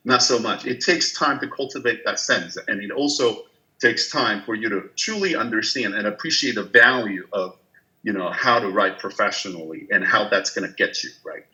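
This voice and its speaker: A man's voice: on the low side, pushed and bright, low-quality recording. A business professor from Taiwan, in his forties, giving an online guest lecture with frequent fillers and restarts. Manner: hesitant, many fillers and restarts.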